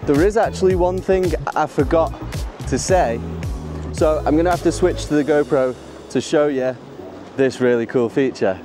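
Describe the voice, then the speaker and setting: A man's voice: fairly high pitch, normal speed. Young guy on a beach with a clip mic, all hyped and rambly, music playing under him. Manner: eager, rambling, laughs at himself. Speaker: vlogger. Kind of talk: excited casual vlog. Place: outdoors on a windy rocky beach. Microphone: small clip-on mic.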